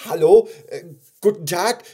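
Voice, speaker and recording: A man voicing a tiny yellow gummy bear in a children's story, eager and excitable, repeating words. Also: sits high, measured pace, pitched above the performer's own voice. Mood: nervous, eager.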